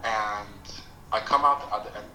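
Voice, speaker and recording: A man from Nigeria whose first language is Yoruba, telling his life story slowly and reflectively as a podcast guest. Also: fairly low pitch, sharp tone, roomy sound, slight background noise, low-quality recording. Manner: slow, reflective, hesitant with pauses. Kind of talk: personal storytelling.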